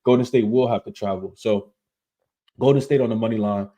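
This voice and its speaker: A man's voice: fairly low pitch, fairly even intonation, dark tone. A man who is losing his voice, hosting a casual sports betting show, talking through his picks with many fillers and repeated words. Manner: filler-heavy, repeats words, quick asides. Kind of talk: casual picks analysis to viewers.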